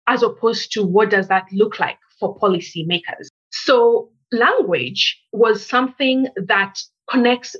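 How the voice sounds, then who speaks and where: A woman from Kenya, a researcher, giving a measured, reflective interview answer and building her points in lists. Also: mid-range voice, slightly rough, highs cut off.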